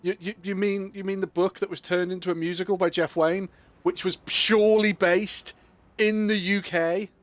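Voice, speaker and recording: A man's voice: high-pitched, flat delivery, normal speed, dry recording. A British man streaming a game, chatting casually in a rambling, joking way and laughing often. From United Kingdom. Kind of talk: casual chat while playing a game live.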